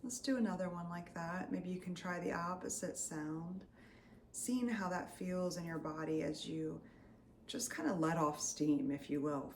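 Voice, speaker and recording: A woman leading a guided meditation as a Reiki healer, soothing and unscripted, often drifting into breathy near-whispers. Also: sits low. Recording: roomy echo, faint background noise.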